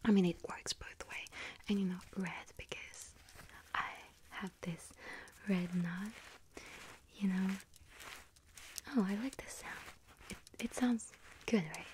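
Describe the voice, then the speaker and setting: A woman playing a friendly, scatterbrained young witch serving a bakery customer in an ASMR roleplay, hesitant, apologetic and gently chatty. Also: mid-range voice, slow pace, pauses often, close to an everyday voice, low-quality recording. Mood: helpful, gentle. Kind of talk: ASMR roleplay, soft whispering.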